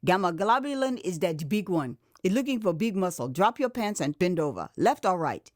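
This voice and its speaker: Audio drama nurse: Liberian woman, brisk, unyielding, bossy, in dialect, played by a female narrator. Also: on the low side, very animated, a little mellow, dry recording, clean studio sound. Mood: bossy, teasing. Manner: firm, bossy, dialect phrasing.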